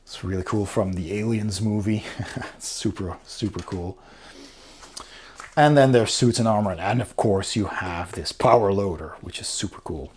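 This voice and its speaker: A man's voice: on the low side, moderate pace, a little mellow, slight background noise. A man giving a casual, unscripted review as host, with an informal, enthusiastic way of talking. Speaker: board game reviewer, host.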